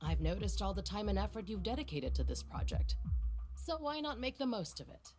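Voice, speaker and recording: A woman's voice: mid-range voice, big pitch swings, a little fast, over music, close to an everyday voice. An actress playing a middle-aged woman research director in a video game, silky, teasing and patronizing, with a manipulative streak. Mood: coaxing, condescending.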